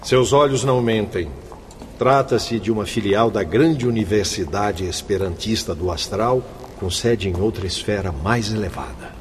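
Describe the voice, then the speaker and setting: A man's voice: on the low side, normal speed, slight background noise, lightly acted. A man playing a dignified spirit school inspector in a radio drama, formal and explanatory. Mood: polite, informative.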